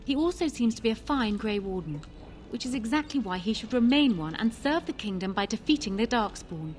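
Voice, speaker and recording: An actress playing a proud, ambitious queen in a fantasy video game, speaking formally with calm, measured persuasion. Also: on the high side, faint music behind, natural voice. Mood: cool, critical. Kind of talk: fantasy game dialogue acting.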